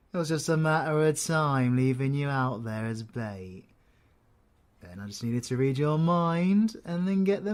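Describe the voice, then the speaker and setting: A man's voice: medium pitch, darkish tone, natural voice. A man with a British accent playing a cocky, menacing, flirtatious supervillain in an audio roleplay, taunting with slangy swearing and teasing endearments. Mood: gloating. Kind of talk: audio roleplay, villain ASMR acting.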